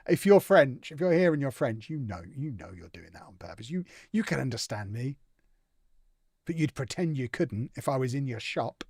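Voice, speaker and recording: A man's voice: medium pitch, big pitch swings, normal speed, gravelly, darkish tone, breathy edge, dry recording, clean studio sound. A man streaming a football management game, chatting casually and reacting to viewer comments with amused indignation. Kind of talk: casual live-stream chat while playing.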